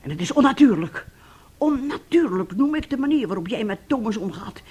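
An actress playing a domineering, bitter middle-aged mother in a radio play, husky and sharp-tongued. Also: fairly high pitch, expressive, a little fast. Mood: indignant, scolding. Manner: scolding, sharp-tongued, self-righteous.

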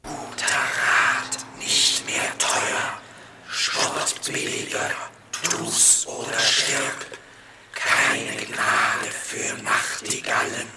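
A man's voice: medium pitch, steady tone, very slow. A man playing a sinister disembodied voice in a German radio play, chanting ominous slogan-like commands. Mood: menacing. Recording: very echoey, distant, heavy noise, clicks.

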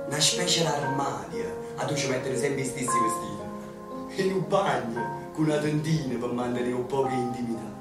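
An actor playing a young Neapolitan man who jokes and exclaims loudly while sheltering from air raids, with a Neapolitan accent. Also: mid-range voice, natural voice. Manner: loud, exclaiming, jesting. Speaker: stage actor. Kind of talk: theatrical radio play acting. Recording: echoey distant stage recording with music.

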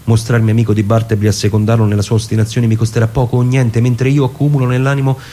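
A man performing a dramatic scripted narration in a theatrical, musing manner, with deliberate pauses. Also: mid-range voice, level tone, warm tone, slight background noise. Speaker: performer reading a literary narration. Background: plucked guitar music.

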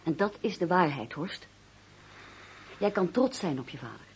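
An actress playing a loyal, indignant young fiancée in a radio drama, speaking earnestly and with emphasis. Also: female voice, lowish voice, expressive, normal speed. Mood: indignant, bitter. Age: young adult. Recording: noisy radio play recording.

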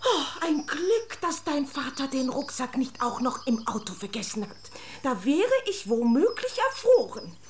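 A haughty toy princess in a radio play for children. The voice is high and swings widely, full of pompous exclamation and wounded affection. There is faint noise behind it. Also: female voice, big pitch swings, unhurried, few pauses. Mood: indignant, wounded. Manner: pompous, dramatic, exclaiming and lamenting. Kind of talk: children's radio drama acting.

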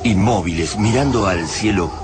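An Argentine actor narrating a science fiction radio drama in a grave, literary manner. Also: male voice, fairly low pitch, moderate pace, background noise, lightly acted. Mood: grave, dramatic. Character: grave male narrator of an alien invasion tale. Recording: loud music under the voice.

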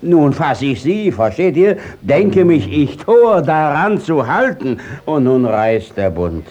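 A sly, battered village judge in an old radio play of classic verse. He drags his words out slowly and blusters his way through evasive excuses in a theatrical manner. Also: on the high side, big pitch swings, darkish tone, lo-fi sound, full character voice. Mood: blustering excuses.

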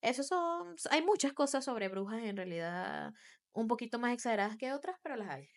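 A woman's voice: fairly high pitch, animated, brisk. A woman co-hosting a podcast, speaking in casual, conversational chat. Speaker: podcast co-host.

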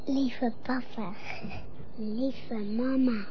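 A woman's voice: fairly high pitch, fairly even intonation, drawn-out words. A female performer playing a small child pleading with parents in a theatrical radio drama. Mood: tearful pleading. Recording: dull, noisy, distant radio play sound.

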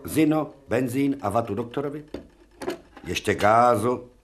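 Actor as imperious surgeon professor, animated delivery. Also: male voice, mid-range voice, a little hiss or hum, lightly acted. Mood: commanding, urgent. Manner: imperious, clipped orders. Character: commanding, brisk surgeon professor, absorbed and imperious. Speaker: actor in a radio play. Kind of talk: theatrical radio drama acting.

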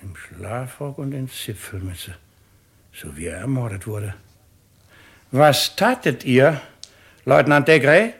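An actor playing an imperious, courtly court president, declaiming formally and with irony in a 1950s radio play. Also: male voice, mid-range voice, big pitch swings, takes it slowly, long pauses, husky, full character voice. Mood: sharp, accusing. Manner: formal, theatrical, emphatic declaiming.

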